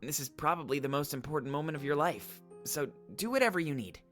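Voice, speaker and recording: A man voicing a kind, earnest furry friend in a visual novel, gentle and sincere. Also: fairly high pitch, fast, soft background music, natural voice. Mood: supportive.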